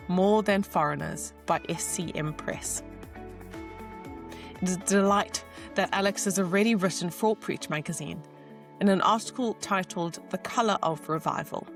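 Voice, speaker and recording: Woman, fairly low voice, editor and host, measured scripted intro, soft music underneath. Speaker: magazine editor, workshop host.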